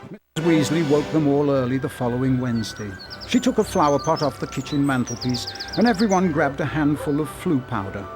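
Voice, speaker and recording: Male narrator telling a story, medium pitch, music playing behind him. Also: animated, brisk. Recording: music under the voice.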